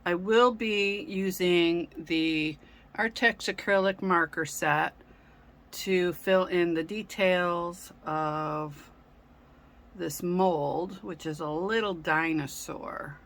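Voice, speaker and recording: A woman hosting a casual craft tutorial, speaking gently with frequent ums, her voice a little raspy from a sore throat. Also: fairly low pitch. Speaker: craft channel host.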